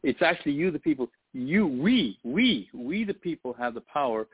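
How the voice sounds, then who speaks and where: A man's voice: sing-song, moderate pace, slightly rough. Man on a tinny line, from Zambia. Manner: emphatic, repeats words, breaks into high mimicry. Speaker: radio co-host. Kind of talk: lively live radio talk.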